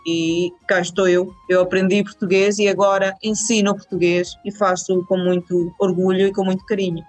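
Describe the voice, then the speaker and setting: A woman's voice: mid-range voice, level tone, moderate pace, soft background music. Earnest Venezuelan woman guest. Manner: warm, earnest, with repeated restarts. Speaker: university department head. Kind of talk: interview answers.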